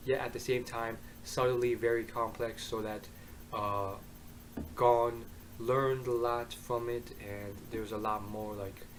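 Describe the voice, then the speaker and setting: A hoarse young man reviewing an anime to his webcam in his bedroom, rambling with many ums and uhs. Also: fairly low pitch, slight background noise, poor audio. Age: young adult. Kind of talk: casual vlog review. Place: bedroom, indoors. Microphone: webcam at desk.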